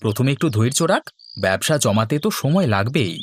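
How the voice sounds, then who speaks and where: A man's voice: mid-range voice, very animated, fairly quick, a little hiss or hum, close to an everyday voice. A man playing an earnest village chicken farmer in scripted cartoon dialogue.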